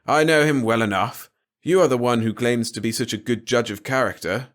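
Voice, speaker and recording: A man's voice: mid-range voice, a little fast, a bit bright, voice pushed up, natural voice. Man playing a blustering, stuffy middle-aged father. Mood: grumbling. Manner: blustering, pompous.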